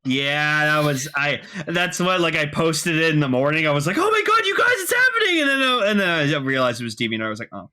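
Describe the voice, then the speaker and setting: A man's voice: on the high side, big pitch swings, a little fast, few pauses, pushed and bright. A man co-hosting a podcast with casual, slangy fan talk. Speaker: podcast co-host.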